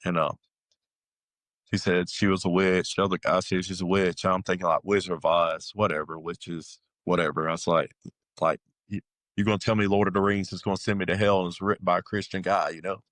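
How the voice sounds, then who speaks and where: Male interview guest, deep register, conversational and halting storyteller who quotes dialogue, very dry sound. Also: flat delivery, fairly bright tone, dry recording. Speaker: guest telling his life story. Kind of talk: casual personal testimony.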